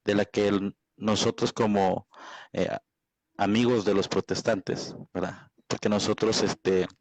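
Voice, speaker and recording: Low-voiced man, dry close sound. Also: fairly even intonation, measured pace, a little mellow, dry recording, rough recording. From Guatemala. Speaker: evangelical debater. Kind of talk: extemporaneous religious debate introduction.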